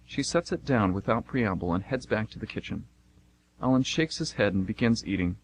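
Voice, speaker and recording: A man's voice: fairly low pitch, expressive, normal speed, slight background noise, rough recording. A man reading scripted narration for an amateur radio play.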